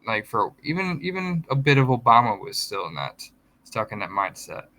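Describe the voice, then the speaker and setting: A man's voice: medium pitch, sing-song, normal speed, bright, forceful tone. A man in casual podcast chat, explaining politics at length and correcting himself as he goes. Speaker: podcast co-host.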